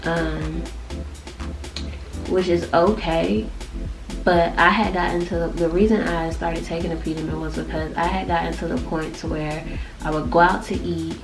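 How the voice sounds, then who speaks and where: A young woman with a low, nasal voice, stuffed up from allergies, chatting to camera in a loose, rambling way. Music plays clearly behind her. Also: low-quality recording. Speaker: vlogger. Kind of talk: casual chat to camera.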